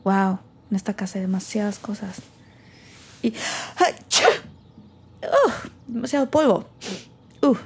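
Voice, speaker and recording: A woman's voice: medium pitch, moderate pace, pauses often, darkish tone, husky. A woman with a Mexican accent acting a resentful young woman who hesitantly and sarcastically talks to herself in an audio drama. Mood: wry, weary. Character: wry, resentful young woman recording her thoughts alone. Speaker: lead actor in audio drama. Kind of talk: naturalistic audio drama monologue.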